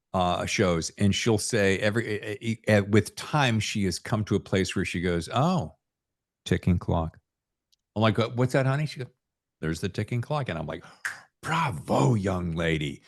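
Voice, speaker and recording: A middle-aged man hosting a casual podcast chat, rambling and salty-tongued as he tells his stories. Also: deep voice. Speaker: podcast host.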